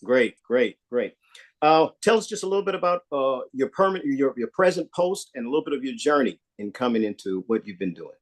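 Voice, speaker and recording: A man hosting a community live show, relaxed and rambling as he interviews a guest. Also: mid-range voice, brisk. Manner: relaxed, rambling, with many fillers.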